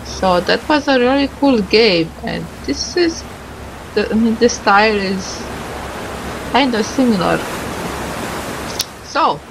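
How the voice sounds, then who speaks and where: A woman doing a casual game playthrough, reading the on-screen dialogue aloud in a halting, tentative way and sounding tired. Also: on the high side, animated, gravelly, background noise.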